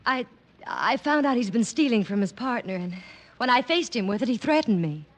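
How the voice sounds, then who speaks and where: Sultry radio femme fatale. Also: female voice, on the high side, lively intonation, normal speed. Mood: anxious. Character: sultry, seductive married woman, noir femme fatale. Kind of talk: 1940s-50s radio detective acting.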